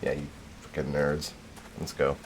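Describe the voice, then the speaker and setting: A man's voice: extremely low pitch, completely flat delivery, a little slow, a little hiss or hum. A man live streaming a game, chatting casually in a laid-back way with some casual swearing.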